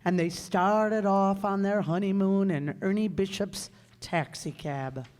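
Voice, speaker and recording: A woman playing a calm storytelling narrator in a live old-time radio play. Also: medium pitch, measured pace, close to an everyday voice. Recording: echoey hall, live stage pickup.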